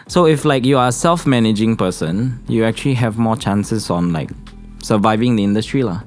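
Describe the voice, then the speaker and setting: A young man with a Singaporean English accent, speaking calmly and evenly as a financial consultant guest on a podcast. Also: brisk, soft background music. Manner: calm, low-key, says he speaks monotonously. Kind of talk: casual podcast chat.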